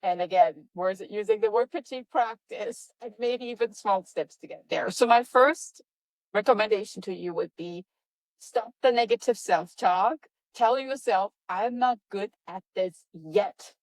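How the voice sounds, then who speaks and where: A woman's voice: a little slow, no room sound, low-quality recording. A woman with a European accent speaks as a podcast guest, full of energy and emphasis. Her voice sits in a middle register. The sound is dry and close. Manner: energetic, upbeat, emphatic with lively pitch jumps. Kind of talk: enthusiastic interview answers.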